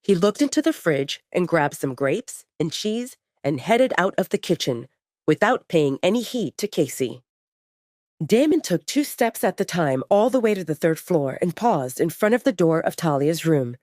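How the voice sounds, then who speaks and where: A woman's voice: fairly low pitch, big pitch swings, moderate pace, darkish tone, no echo, studio quality. A woman reading scripted dramatic fiction as the narrator of an audio story.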